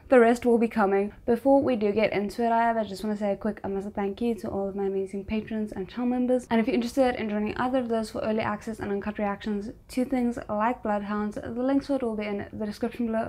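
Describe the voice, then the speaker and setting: A woman's voice: fairly high pitch, a little mellow. A woman hosting a reaction video, giving casual commentary and thinking aloud as she goes.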